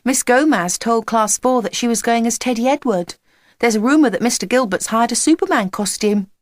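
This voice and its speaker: A woman's voice: on the high side, a little fast, no echo, clean studio sound, voice pushed up, close to an everyday voice. A woman voicing an earnest, rather gloomy young schoolgirl in a children's audiobook, with a grumbling manner. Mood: gossipy, gloomy.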